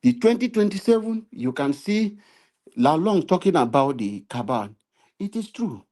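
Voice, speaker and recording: Nigerian male commentator, warm and mellow, preachy, builds to emphatic repeated appeals. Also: high-pitched, very animated, normal speed. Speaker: political commentator. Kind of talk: impassioned political commentary. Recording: live stream.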